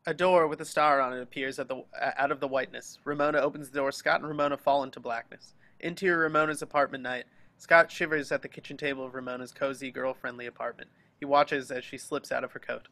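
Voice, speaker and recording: A man's voice: medium pitch, steady tone, quick pace, few pauses, sharp tone. A man narrating the action descriptions of a screenplay in an amateur table reading. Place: online group video call.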